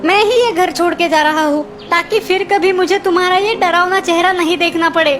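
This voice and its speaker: High-pitched petulant little boy voiced by a woman. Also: fairly even intonation, fairly quick, a bit bright, slight background noise. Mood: angry, hurt. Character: petulant, emotional young boy in a cartoon. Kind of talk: Hindi children's cartoon dialogue.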